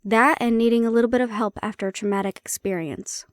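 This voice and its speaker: A woman's voice: a little high, steady tone, fast talker, dry recording, studio quality, lightly acted. A woman playing a prickly, guarded banshee-siren hybrid in an intimate audio roleplay, explaining herself conversationally with some teasing. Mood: guarded, explanatory.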